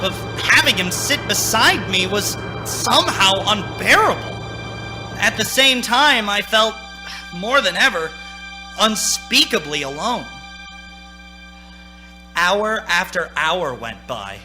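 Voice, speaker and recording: A man's voice: high voice, lively intonation, slow pace. An actor playing a haunted, anxious young man in a radio play, dramatic and nervously stammering. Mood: haunted, brooding. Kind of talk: radio play acting, narrating and in scenes. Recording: stage radio play with music.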